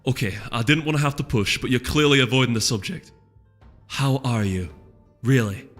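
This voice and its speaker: A man's voice: mid-range voice, moderate pace, a bit bright, lightly acted. A man performs a young female storybook pony with a Scottish accent. She is bubbly, adventurous and eager to chatter, set in long reverb over music. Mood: concerned.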